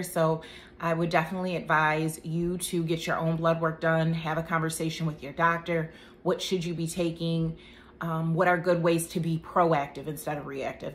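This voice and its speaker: A woman in her thirties talks to camera about health in a chatty, joking way. Her voice sits a little low. A faint room hum lies under the recording. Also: a bit bright. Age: 30s. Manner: chatty, joking asides, faith talk. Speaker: vlogger. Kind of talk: casual health talk to camera.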